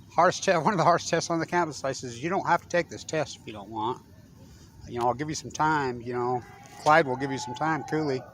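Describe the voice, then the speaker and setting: A man's voice: medium pitch, slight background noise. Rambling, blunt older man. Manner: blunt, rambling, laughs mid-sentence, emphatic shouted names. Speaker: self-styled sports commentator. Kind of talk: rambling opinionated monologue.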